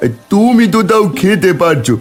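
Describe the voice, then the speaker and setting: Guy doing hungry poor bengali villager, cartoon, really high plaintive voice, faint music. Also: big pitch swings, normal speed. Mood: despairing. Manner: plaintive, pleading. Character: poor, hungry, despairing younger village man. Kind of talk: cartoon dialogue acting.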